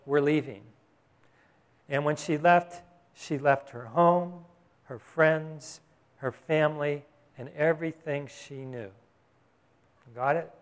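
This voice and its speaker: A man preaching a sermon as a Bible teacher, in a conversational way with frequent questions to his listeners. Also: a little high. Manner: conversational preaching, asks the congregation "Got it?". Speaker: pastor.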